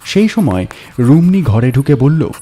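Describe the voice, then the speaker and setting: A man narrating a story in a measured, atmospheric, scripted style with dramatic pauses. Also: medium pitch, big pitch swings, mellow, slightly rough, soft background music.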